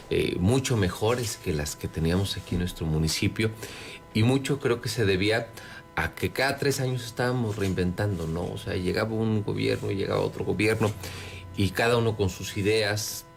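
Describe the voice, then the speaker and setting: A Mexican man with a very deep voice answers an interviewer as a local official. He speaks conversationally and haltingly, searching for the right words. Soft music plays faintly underneath. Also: gravelly. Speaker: city government secretary being interviewed.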